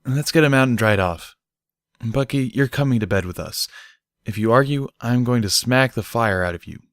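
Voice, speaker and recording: A man's voice: medium pitch, normal speed, no echo, studio quality, voice pushed up, close to an everyday voice. A man voicing a bossy but caring female pegasus pony with a firm, no-nonsense manner in a story reading.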